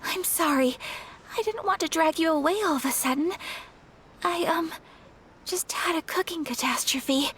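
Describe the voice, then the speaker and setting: An actress playing a gentle, polite young noblewoman in an anime-style video game, courteous and a little hesitant. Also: extremely high pitch, moderate pace, slight background noise. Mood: flustered, apologetic. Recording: faint game music behind.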